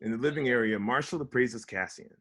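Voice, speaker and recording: A man's voice: medium pitch, a little fast, fairly bright tone. A man reading stage directions as scripted narration for a comedy table read.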